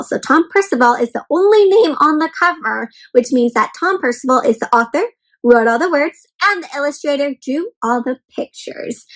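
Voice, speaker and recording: High-pitched woman reading to kids. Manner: animated, exaggerated expression with frequent questions to young listeners; addresses listeners directly as friends, encouraging. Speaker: children's read-aloud host. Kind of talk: interactive picture book read-aloud for kids.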